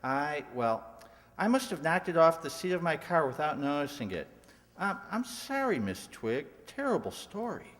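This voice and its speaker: A man's voice: on the high side, big pitch swings, normal speed, fairly bright tone. Man as a jittery, swooping, stammering professor. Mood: flustered, apologetic. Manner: hesitant, stammering, apologetic. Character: nervous, evasive male college professor hiding something. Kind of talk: amateur radio mystery play acting. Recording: reverberant hall sound.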